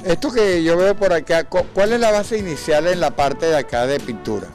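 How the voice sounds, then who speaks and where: Chatty Venezuelan man interviewing, higher-pitched voice. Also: lively intonation, moderate pace, a little mellow, soft background music. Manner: chatty, inquisitive. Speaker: TV host and interviewer. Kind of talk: informal on-location interview.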